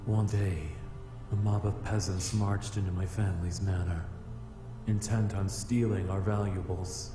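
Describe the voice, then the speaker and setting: A man's voice: sits low, fairly even intonation. A man voicing a haughty, easily angered male demon in a visual novel game, speaking in a formal, pompous way. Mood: solemn, bitter. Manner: pompous, formal, slow delivery. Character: haughty centuries-old male flame demon, easily enraged. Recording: roomy echo with music behind.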